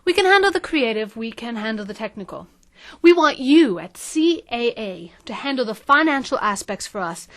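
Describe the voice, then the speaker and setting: Polished woman with a higher voice. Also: a bit bright. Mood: salesy. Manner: articulate, pitching. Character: polished, promotional female media consultant and interviewer. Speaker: actor. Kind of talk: satirical audio drama acting.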